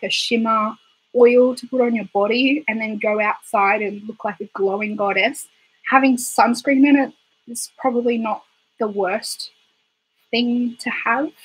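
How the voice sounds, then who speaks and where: A woman hosting a casual beauty livestream, hoarse from being sick, chatty and rambling with giggly asides. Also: on the high side, low-quality recording. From Australia. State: hoarse, recovering from illness. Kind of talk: casual livestream chat reading viewer comments. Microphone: desktop microphone.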